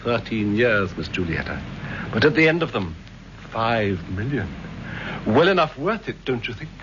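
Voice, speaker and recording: Smug villain, theatrical, very varied pitch, formal phrasing, muffled old radio recording. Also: male voice, very animated, moderate pace. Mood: gloating. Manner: theatrical, self-satisfied, formal. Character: smug middle-aged or older male criminal schemer. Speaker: actor in a radio crime serial. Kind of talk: vintage radio drama acting. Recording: dull, faintly noisy vintage radio recording.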